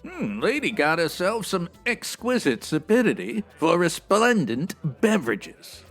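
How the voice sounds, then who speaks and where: A man playing a slangy, wordy strip club manager with a raspy, theatrical delivery, in a comedic old-time radio detective style. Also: sits high, sing-song, measured pace, fairly bright tone, soft background music, full character voice. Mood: chatty, pleased. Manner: hipster slang with florid big words, theatrical. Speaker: actor in a comedy audio drama.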